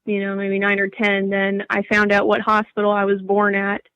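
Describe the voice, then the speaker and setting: A woman's voice: mid-range voice, completely flat delivery, normal speed, a bit bright, clear, resonant tone, no echo, dull audio. A young adult woman telling her personal story casually as an interview guest.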